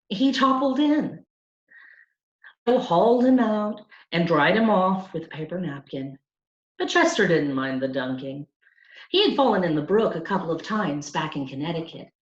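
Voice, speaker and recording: Lady reading a kids book out loud, lowish voice, really animated, bit dull echoey home recording. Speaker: storyteller reading a children's novel aloud. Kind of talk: expressive scripted audiobook narration for children.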